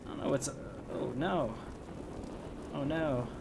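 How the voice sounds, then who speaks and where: A man's voice: mid-range voice, slow, gravelly, heavy background noise. A man doing casual, joking, rambling live commentary while playing a video game. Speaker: game streamer. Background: video game music.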